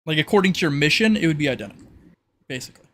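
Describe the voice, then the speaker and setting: Higher-voiced man explaining as game master. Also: expressive, racing, fairly bright tone. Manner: casual, explanatory. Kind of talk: improvised narration of tabletop roleplay.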